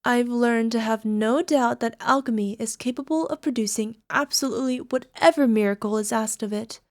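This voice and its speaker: Woman acting as yandere alchemist girlfriend, high-pitched, soft and coaxing, sweetly obsessive, ASMR roleplay, close dry recording. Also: moderate pace, dry recording, close to an everyday voice. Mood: smug, possessive. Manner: soft, coaxing, giggly, slipping into whispers. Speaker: voice actor. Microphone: close microphone, dry.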